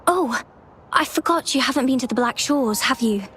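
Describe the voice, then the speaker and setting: An earnest, polite young researcher explains things carefully in a video game cutscene. Her voice sits fairly high. Game music plays clearly behind her. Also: normal speed, a bit bright. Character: earnest young female field researcher, worried.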